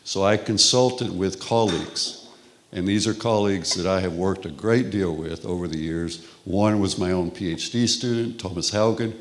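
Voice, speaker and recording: A middle-aged man from Texas with a Texan accent, giving a relaxed, conversational conference lecture with frequent restarts. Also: deep voice, moderate pace. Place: conference hall.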